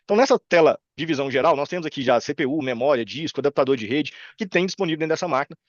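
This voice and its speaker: Casting: Brazilian male presenter, Brazilian Portuguese accent, chatty and quick, informal tutorial delivery, close dry sound with slightly lo-fi tone. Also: on the high side, animated, dry recording. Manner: informal, chatty, checks in with listeners. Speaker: presenter demonstrating software. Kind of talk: informal live screen-share tutorial. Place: indoor room on a video call.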